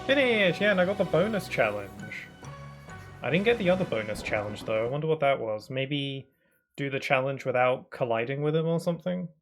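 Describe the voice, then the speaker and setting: A man streaming a game, chatting casually and thinking aloud, a bit sniffly from hay fever, with bursts of excitement. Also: medium pitch, a little fast, soft background music. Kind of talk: casual gaming commentary.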